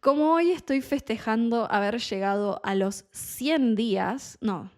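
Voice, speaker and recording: Young woman w/ buenos aires accent, spanish tutor, super slow careful talk, explains stuff as she goes. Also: on the high side, a little mellow. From Argentina. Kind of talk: slow, clear teaching monologue.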